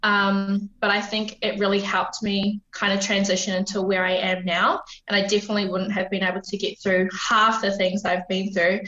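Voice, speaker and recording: Bright-voiced New Zealand woman in her twenties. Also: mid-range voice, level tone, normal speed, hardly any pauses, echoey room, dull audio. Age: 20s. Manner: open, reflective, many "um" fillers. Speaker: podcast guest. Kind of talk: casual conversational storytelling.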